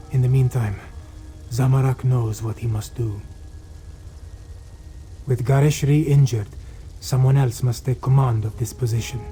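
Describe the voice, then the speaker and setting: An actor playing an earnest, remorseful cat-folk warrior in a video game, solemn and speaking of himself in the third person. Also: male voice, mid-range voice, mellow, close to an everyday voice.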